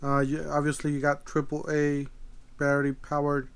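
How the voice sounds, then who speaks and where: A man giving a casual product demo as a video host, thinking aloud in a halting, tentative way. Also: a little high, monotone, clear, resonant tone. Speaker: video host, gadget reviewer.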